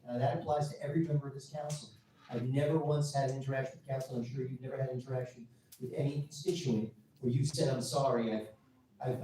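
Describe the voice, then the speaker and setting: A man's voice: medium pitch, mellow, slight background noise. A man speaking as the town's attorney at a council meeting, emphatic and insistent. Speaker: municipal attorney. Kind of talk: public meeting remarks. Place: council chamber. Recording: echoey chamber from far off.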